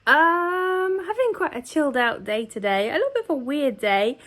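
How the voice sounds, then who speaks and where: A woman with a fairly high voice talks casually to her viewers as a vlogger. Her delivery is chatty and meandering, in the style of an informal video diary, with a giggly manner. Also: big pitch swings, moderate pace, a bit bright.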